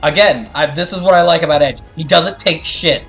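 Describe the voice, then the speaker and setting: Higher-voiced man streaming over music. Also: brisk, fairly bright tone. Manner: rambling, explanatory, often restarts sentences. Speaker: streamer and host of a live fandub. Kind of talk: casual livestream commentary, chatting with viewers and reading game dialogue.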